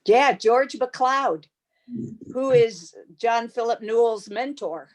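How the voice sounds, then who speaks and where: A woman's voice: a little high, slow pace, low-quality recording. A woman in a group video call, chiming in with friendly, encouraging remarks. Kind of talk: casual chat.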